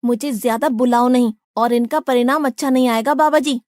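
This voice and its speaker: A woman voicing a blunt, complaining, hot-headed young boy devotee in an animated moral story. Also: a little high, brisk, no echo, clean studio sound, close to an everyday voice. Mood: indignant.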